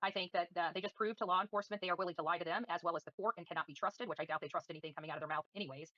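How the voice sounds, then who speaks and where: Fast-talking woman, bright forceful voice. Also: on the low side, steady tone, no room sound, lo-fi sound. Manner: combative, defiant, scornful. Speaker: livestream host. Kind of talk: livestream commentary, answering viewer comments.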